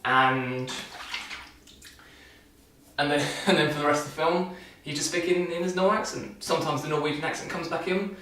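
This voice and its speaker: A man's voice: a little high, normal speed, bright, forceful tone. A young man vlogging casually while shaving, talking loosely with lots of restarts and dry sarcasm. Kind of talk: casual rambling film review. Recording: echoey room, mic far off.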